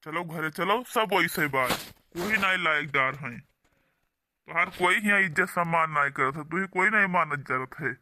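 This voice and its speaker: Man playing an indignant village brother, high and piercing. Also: measured pace, sharp tone, no echo. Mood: indignant, urging. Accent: Awadhi. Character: protective, indignant younger brother from a poor village. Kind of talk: cartoon dialogue.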